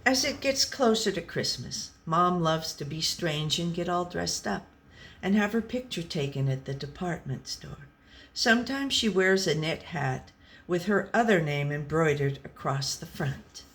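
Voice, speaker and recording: Slow, deadpan storybook pet read by a woman. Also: lowish voice, slight background noise. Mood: dry, tolerant. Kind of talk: scripted storybook narration.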